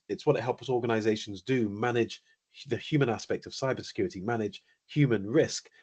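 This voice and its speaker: Man, British accent, middle-aged, husky, low-pitched, measured, dry wit, webinar guest. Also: darkish tone. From United Kingdom. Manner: articulate, measured, dry understated humour. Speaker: company founder, guest. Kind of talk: webinar interview answers.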